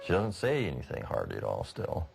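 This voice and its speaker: A middle-aged father talks in a documentary interview. His voice is very low, and loud music plays behind him. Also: huge pitch swings, brisk, vocal fry.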